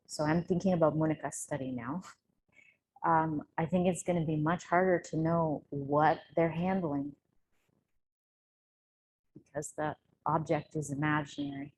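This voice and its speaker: A woman speaker answering questions in an unscripted academic discussion, reasoning aloud in a measured way. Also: sits low, fairly even intonation, darkish tone, poor audio. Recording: faint background noise.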